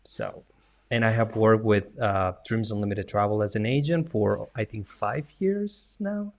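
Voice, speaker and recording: A man from Colombia with a Colombian accent, speaking as a panel guest in a relaxed, hesitant way with fillers. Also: on the low side, a little slow. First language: Spanish. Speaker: travel agent and website editor. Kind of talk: casual panel chat.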